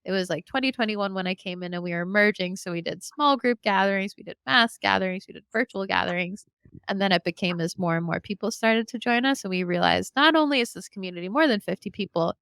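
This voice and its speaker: Young woman organizer, lively storyteller, gravelly fry over a clean dry read. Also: medium pitch, fast talker, no room sound. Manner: animated storyteller, acts out quotes. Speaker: community organizer. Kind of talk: casual podcast conversation.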